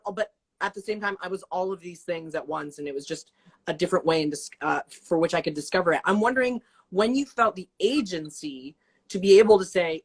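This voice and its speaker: A woman in her twenties hosting an interview over a video call, affirming and thoughtful, with many fillers as she asks questions. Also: on the low side. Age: 20s. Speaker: host. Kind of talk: casual interview chat.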